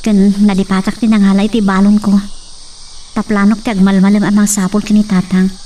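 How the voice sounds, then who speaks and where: An actress playing a worried but determined daughter in a radio drama, earnest and resolute, with a northern Philippine accent. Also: female voice, medium pitch, level tone, a little fast, warm tone, recorded from a distance, slight background noise, close to an everyday voice. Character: determined, worried daughter searching for her father.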